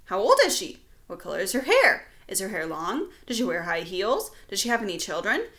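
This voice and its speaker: A woman's voice: medium pitch, huge pitch swings, fairly bright tone. A woman voicing curious children in a storybook, firing off eager, insistent questions. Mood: eager curiosity. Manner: insistent, rapid-fire questioning.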